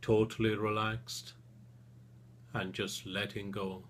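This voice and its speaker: A man leading a guided relaxation session as a therapist, speaking soothingly and gently in short phrases with long pauses. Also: on the low side, little pitch movement, a little hiss or hum.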